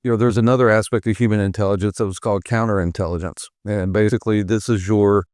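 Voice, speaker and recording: A low-pitched man in his fifties tells his story as an interview guest, unhurried and plainspoken, with fillers and an easy chuckle. His voice sits close and dry, with no room sound around it. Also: fairly even intonation, a little mellow, clean tone, no echo. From United States. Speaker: podcast guest.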